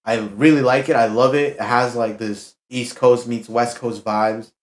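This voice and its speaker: A man's voice: medium pitch, fairly even intonation, a little slow. A young man chatting as a podcast guest in a laid-back, slangy way, full of fillers. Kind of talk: casual chat. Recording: echoey room.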